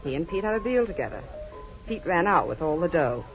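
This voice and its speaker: Low, expressive noir nightclub singer. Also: female voice, brisk. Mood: wistful, wry. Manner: cool, sardonic, sultry. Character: tough, guarded nightclub singer, a worldly woman. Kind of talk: 1940s radio noir acting. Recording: dull old radio recording, faint music.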